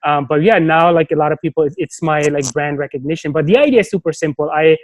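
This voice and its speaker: A man's voice: on the high side, fast, no echo. A man, not a native English speaker, chatting as an interview guest, rambling with many fillers and restarts. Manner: rambling, heavy on "you know" and restarts. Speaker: guest, startup advisor. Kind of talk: casual interview chat.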